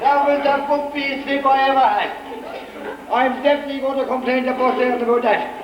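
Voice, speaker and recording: High-voiced man as a saucy Irish panto dame. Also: fairly even intonation, a little fast. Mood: cheeky. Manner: cheeky, earthy. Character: brassy, saucy queen, a pantomime dame and mother. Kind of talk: amateur stage pantomime acting. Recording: muffled echoey hall, noisy audience.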